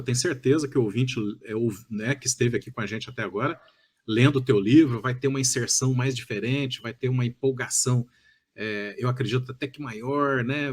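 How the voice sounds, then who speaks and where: A Brazilian man of fifty-one hosting a podcast interview, talking effusively and wordily with frequent tag questions. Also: mid-range voice. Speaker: podcast host.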